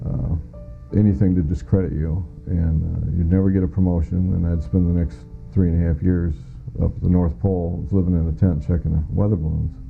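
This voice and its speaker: Very low-voiced American man, gravelly. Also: level tone, a little fast, hardly any pauses, soft background music. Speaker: former air traffic controller giving witness testimony. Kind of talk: recounting first-hand testimony in an interview. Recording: muffled lo-fi audio.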